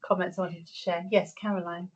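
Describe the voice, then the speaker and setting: A woman's voice: fairly low pitch, level tone, fairly quick. A woman chairing a board meeting, thanking a speaker and inviting questions, with frequent fillers and restarts. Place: meeting room. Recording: dull lo-fi meeting audio.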